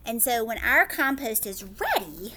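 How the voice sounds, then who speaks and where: Higher-pitched woman teacher reading to children. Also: big pitch swings, measured pace, heavy background noise. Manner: simple child-directed talk with frequent check-in questions. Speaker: teacher reading a picture book aloud to children. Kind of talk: children's read-aloud with chatty explanatory asides.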